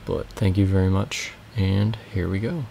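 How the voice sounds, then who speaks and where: A young man with a raspy voice, reading a fantasy novel aloud as a home audiobook from his bedroom. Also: low voice, a little hiss or hum. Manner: slow, casual intro then steady reading. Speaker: amateur audiobook reader. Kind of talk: audiobook narration.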